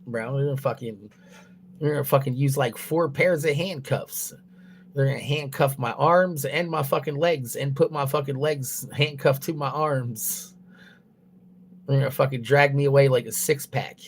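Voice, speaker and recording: A man's voice: medium pitch, normal speed, a little hiss or hum. Young male streamer talking loosely into a phone, profane and rambling, laughs at his own jokes. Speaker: livestreamer. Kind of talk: casual profane stream chatter. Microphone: mobile phone.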